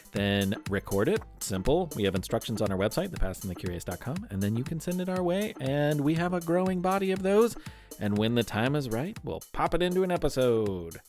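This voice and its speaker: A man narrates for young listeners with highly animated, swooping intonation. His delivery is playful and theatrical, dotted with wry asides, and faint music plays underneath. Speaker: podcast host. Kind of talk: scripted narration for a children's history and quiz show.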